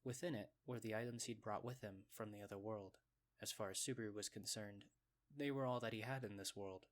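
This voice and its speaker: A man reading scripted story narration, with a measured, descriptive storytelling delivery. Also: medium pitch.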